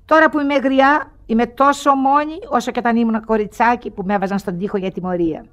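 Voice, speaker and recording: Woman playing a high-voiced, world-weary old grande dame. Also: fairly even intonation, natural voice. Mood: weary, reflective. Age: older. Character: rich, bored, lonely old aunt, wry and commanding. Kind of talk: theatrical radio play acting.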